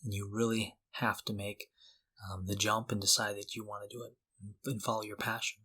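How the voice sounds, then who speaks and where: A man's voice: lowish voice, little pitch movement, fairly quick, sharp tone, slightly rough. A man in his forties co-hosting a podcast in a casual, conversational way, thinking aloud in loose, hesitant phrases. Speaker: podcast co-host.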